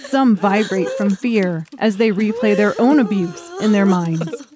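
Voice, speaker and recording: A woman's voice: medium pitch, a little slow, crowd noise. A woman narrating a dramatic audiobook story in a scripted style.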